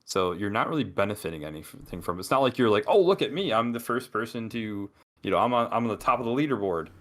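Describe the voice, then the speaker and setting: Low-voiced man with a lively, swinging delivery. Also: fast. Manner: animated, rambling, with many restarts. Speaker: podcast co-host. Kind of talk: casual gaming chat.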